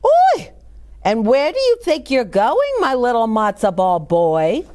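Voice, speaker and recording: A woman's voice: fairly high pitch, big pitch swings, a little slow, warm tone. A woman voicing a fussing, scolding old grandmother in a children's storybook. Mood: indignant. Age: older. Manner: fussing, exclaiming. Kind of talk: children's storybook read-aloud.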